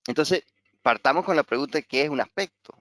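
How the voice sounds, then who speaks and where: A man's voice: a little high, a little fast, fairly bright tone, no room sound, clean studio sound. A middle-aged man from Venezuela with a Venezuelan Spanish accent, teaching astrology in an online webinar, talking hesitantly with many fillers and repeated words. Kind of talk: webinar lecture.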